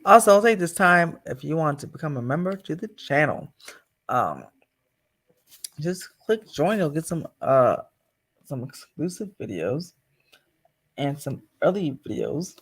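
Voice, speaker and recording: A man's voice: a little high, sing-song, measured pace, pauses often, fairly bright tone, slightly rough. A man hosting a casual livestream, chatting off the cuff and addressing viewers. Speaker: channel host.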